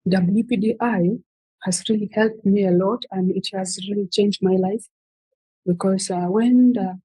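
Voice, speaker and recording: A woman's voice: mid-range voice, mellow, breathy edge, dry recording, poor audio. A young woman in her twenties from South Sudan, a footballer answering interview questions with frequent fillers and restarts. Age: 20s. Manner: halting, many fillers and restarts. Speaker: guest, footballer.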